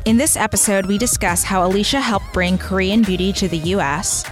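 A woman's voice: medium pitch, brisk, over music. A woman hosting a podcast, reading a polished, upbeat introduction and then asking the guest questions. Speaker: podcast host, beauty editor.

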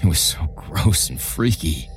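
A man's voice: sits low, lively intonation, a little slow, soft background music. A man voicing a bewildered, frightened hospital patient with an anxious, pleading manner in a horror story. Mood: confused.

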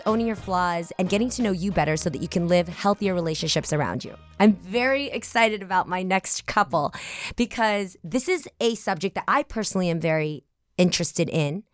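An excitable, bubbly woman in her forties hosting an interview podcast, laughing easily. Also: lowish voice, dry recording. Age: 40s. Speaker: podcast host.

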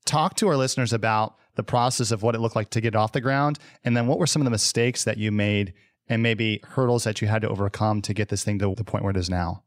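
A man's voice: fairly low pitch, quick pace, no room sound, studio quality. A man co-hosting a podcast, asking a guest questions in a friendly, joking way. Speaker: podcast co-host. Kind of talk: interview questions.

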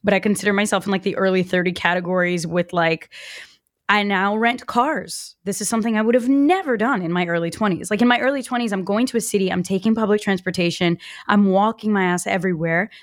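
A woman's voice: mid-range voice, quick pace, no room sound. A woman in her late twenties, from Brazil and raised in the US, talks as a podcast guest. She tells her stories with energy and a lot of casual fillers. The recording is close and dry, with no room sound. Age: 20s. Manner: animated storyteller, lots of "like". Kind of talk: conversational podcast interview.

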